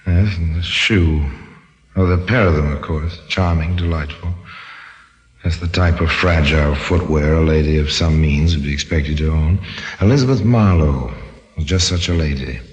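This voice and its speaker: A man hosting and narrating an old-time radio mystery, wry and deliberate, with a macabre relish. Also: rumbling low, slight background noise, poor audio. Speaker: narrator and host.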